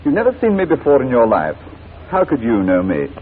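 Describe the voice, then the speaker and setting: A suave, wicked blackmailer from an old radio play. He talks quickly and formally, his pitch sliding about with mocking courtesy and menace. The recording is noisy and tinny. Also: huge pitch swings. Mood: smooth, sly. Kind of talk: 1940s radio drama acting.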